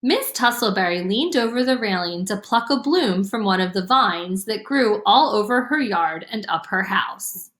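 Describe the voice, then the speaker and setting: A woman reading a children's storybook aloud as an expressive narrator. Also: mid-range voice, normal speed, few pauses. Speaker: storybook reader. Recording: roomy, echoing room sound.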